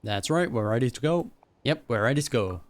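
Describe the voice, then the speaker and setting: A man's voice: mid-range voice, lively intonation, a little fast, no echo. A man doing casual, chatty reaction commentary while playing a video game. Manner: chatty, chuckling. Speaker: gaming streamer.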